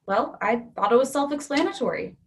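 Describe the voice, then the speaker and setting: A woman reading a calm, persistent character. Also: high-pitched, a little fast. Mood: arguing calmly. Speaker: reader in a readers theatre play. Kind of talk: staged play reading.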